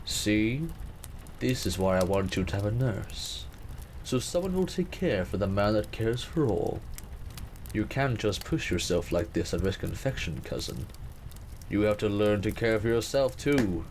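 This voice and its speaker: Male actor as commanding king, protective and teasing. Low, formal, measured. Period audio drama, some background noise. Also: lively intonation, darkish tone. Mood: fond, teasing.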